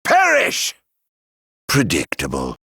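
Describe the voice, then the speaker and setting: Flamboyant middle-aged male game villain: mock-courteous and sardonic, husky, very unhurried, theatrical. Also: fairly low pitch, wildly swooping pitch, pushed and bright, no echo, clean studio sound, exaggerated voice. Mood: fierce, exultant, then dry disdain. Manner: flamboyant, sardonic, mock-polite, drawn-out delivery. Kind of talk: video game battle voice lines.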